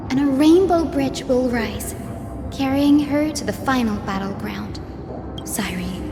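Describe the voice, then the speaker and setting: An actress playing a gentle, selfless young sky priestess in a video game, speaking tenderly and softly. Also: female voice, on the high side, close to an everyday voice. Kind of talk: dramatic game voice acting. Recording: long reverb with loud music. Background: loud music.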